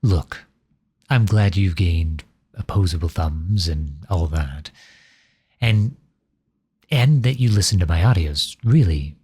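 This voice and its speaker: A man's voice: low-pitched, lively intonation, slow pace, pauses often, a little mellow, breathy edge, heavily acted. A man acting in an ASMR roleplay as a grumpy, exasperated male creator with a sarcastic, flustered, grudging manner. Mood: grudging, weary.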